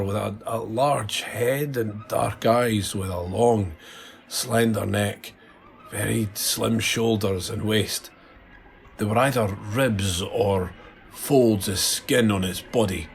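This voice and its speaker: A man playing a shaken witness of an alien abduction, recalling it haltingly in an audio drama testimony. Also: on the low side, creaky.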